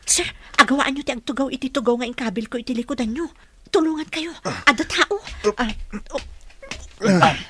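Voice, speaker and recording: A woman's voice: medium pitch, a bit bright, slight background noise, exaggerated voice. A woman playing a caring, respectful nurse in a radio drama, polite and encouraging.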